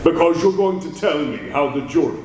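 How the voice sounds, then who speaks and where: An actor playing a mysterious male avenger who taunts a prisoner with slow, menacing words and soft laughs, in old radio drama style. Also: fairly high pitch, animated, slight background noise, lightly acted. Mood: taunting. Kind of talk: 1930s radio drama acting. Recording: old roomy radio recording.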